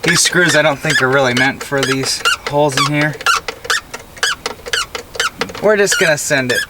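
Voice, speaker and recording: A man's voice: a little high, unhurried. A young American man chatting casually and in laid-back slang as he works on his truck, recorded inside the cab by a camera a little way off. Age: young adult. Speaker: vlogger doing a truck DIY install. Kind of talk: casual vlog chat while working. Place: inside a parked pickup truck cab, door open. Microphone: camera mounted an arm's length or more away. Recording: clear outdoor road noise. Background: road and vehicle noise.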